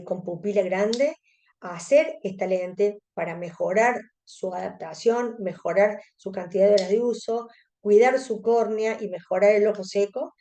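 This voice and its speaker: Argentine lady w cordoba accent, soft mellow voice, explaining stuff to colleagues in a webinar. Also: medium pitch. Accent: Cordobese Argentine Spanish. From Córdoba, Argentina. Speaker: presenter, contact lens specialist. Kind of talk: educational webinar lecture.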